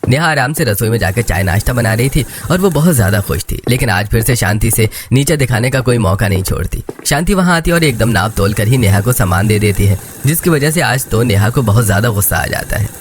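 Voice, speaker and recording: A man's voice: medium pitch, animated, a little fast, few pauses, background noise. A man narrating a scripted cartoon story as its storyteller.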